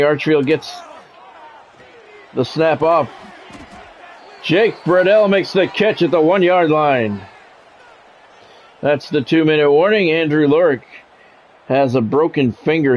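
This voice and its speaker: Man calling sports play-by-play, slightly muffled. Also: fairly high pitch. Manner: announcer-style calls in short clipped phrases. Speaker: live streamer and play-by-play commentator. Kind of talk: sports play-by-play commentary mixed with casual chat to viewers.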